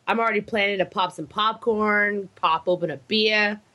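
A woman in casual podcast chat who rambles through her points and keeps breaking into giggles. Her voice sits at a medium pitch. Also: moderate pace, bright, forceful tone. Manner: rambling, giggly, lots of fillers.

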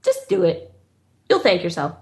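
A woman co-hosting a podcast, chatting casually and excitedly, swearing freely. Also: fairly low pitch, normal speed, creaky. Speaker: podcast co-host.

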